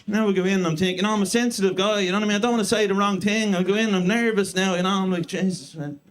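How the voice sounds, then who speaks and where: A man in his thirties with an Irish accent, doing a deadpan, self-mocking stand-up set in a comedy club. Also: high-pitched, racing. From Ireland. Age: 30s. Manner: deadpan self-mocking delivery with crude punchlines. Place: comedy club stage before a live audience.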